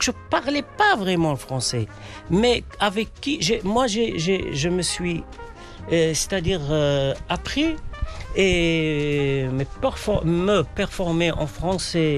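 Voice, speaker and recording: Hesitant male playwright, Arabic native speaker, slightly high voice, soft music under him. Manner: hesitant, many fillers and restarts. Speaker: playwright guest. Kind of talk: casual interview answers.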